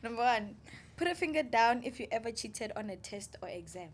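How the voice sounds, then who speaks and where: A woman's voice: fairly high pitch, expressive, a little fast. A young woman co-hosting a student podcast, asking questions in a playful, teasing way and laughing often. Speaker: podcast co-host. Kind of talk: casual interview chat.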